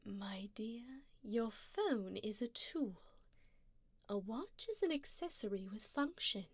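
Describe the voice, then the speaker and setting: A woman plays an elegant, wealthy vampire lady who dotes on and quietly owns her listener. Her voice is fairly high, soft and breathy, often sinking toward a whisper. She takes her time over each formal, coaxing phrase, gently commanding in an intimate ASMR roleplay, very dry and close to the ear. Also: animated, takes it slowly, dry recording, heavily acted. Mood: refined, persuasive. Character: wealthy, doting, possessive female vampire sugar mommy. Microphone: binaural 3Dio microphone, close up.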